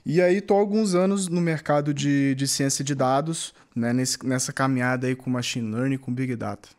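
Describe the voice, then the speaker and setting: A young Brazilian man from Espírito Santo, chatting on a podcast in an easygoing, friendly way. His voice has a medium pitch. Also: fairly even intonation, normal speed, darkish tone. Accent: Brazilian Portuguese. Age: 20s. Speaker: data scientist, podcast guest. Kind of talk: casual podcast chat.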